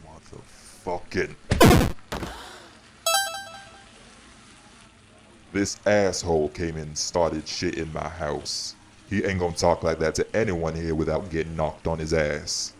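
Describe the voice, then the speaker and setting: A man playing a stern, hot-tempered leader among vampires in an audio drama, gruff, profane and commanding, with music behind him. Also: rumbling low, steady tone, measured pace, hardly any pauses, heavy background noise. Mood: menacing anger. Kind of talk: audio roleplay drama acting.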